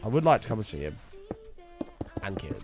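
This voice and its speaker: Hoarse streamer, animated banter. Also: male voice, on the low side, a little fast, faint music behind. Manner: bantering, wry, teasing chat members. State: hoarse, sore voice. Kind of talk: casual chat with viewers.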